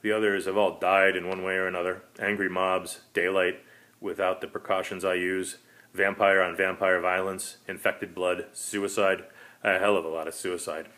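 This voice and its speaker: A man's voice: low-pitched, level tone, normal speed. A man voicing a lonely, despairing last vampire, a polite predator, in a dramatic story reading. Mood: weary, bitter. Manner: pleading, rising into manic shouting.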